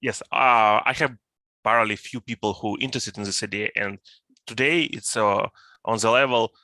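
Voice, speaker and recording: A man with a Ukrainian accent, speaking English as a second language, talking haltingly with many fillers on a video call. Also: lowish voice, sharp tone, dry recording. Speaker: volunteer.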